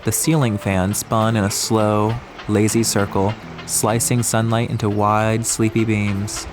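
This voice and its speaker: A man's voice: on the low side, flat delivery, a little slow. A man narrating an atmospheric audiobook story from a script. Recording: music behind the voice.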